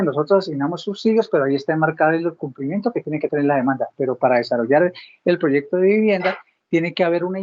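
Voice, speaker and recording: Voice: mid-range voice, darkish tone, lo-fi sound. A government official explaining programs in a didactic way, posing questions and then answering them. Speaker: government housing ministry official. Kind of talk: explanatory talk on housing programs.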